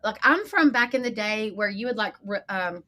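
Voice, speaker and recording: A woman's voice: medium pitch, lively intonation, moderate pace, pushed and bright. A middle-aged woman from East Texas with a matching accent, a podcast guest chatting casually and laughing between phrases. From East Texas, USA. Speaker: podcast guest, marketing agency leader. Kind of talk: casual conversational interview.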